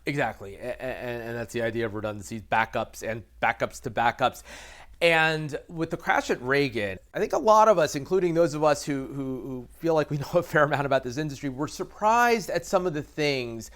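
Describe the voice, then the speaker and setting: An American man speaking as an expert guest in an interview, explaining haltingly with repeated words and careful qualifications. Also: medium pitch, lively intonation, a bit bright. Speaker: expert guest, aviation reporter. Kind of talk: conversational interview analysis.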